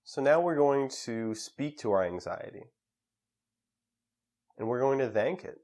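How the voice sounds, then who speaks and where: A man leading a guided meditation in a calm, measured way with pauses between phrases. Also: mid-range voice.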